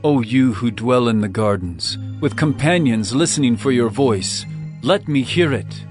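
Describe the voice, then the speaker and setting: An adoring royal lover voiced by a male actor declaims in a mid-range voice over soft music. His delivery is measured and ardent, in the poetic style of a dramatized scripture audiobook. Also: mid-range voice, expressive, natural voice. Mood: ardent, solemn.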